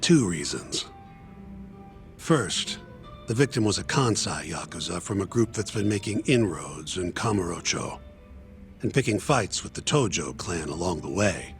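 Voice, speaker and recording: A man acting as a brisk, pragmatic, unsentimental defense lawyer in a video game, speaking bluntly and colloquially. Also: low voice, very animated, faint music behind. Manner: blunt, colloquial, matter-of-fact. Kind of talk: English game dub dialogue.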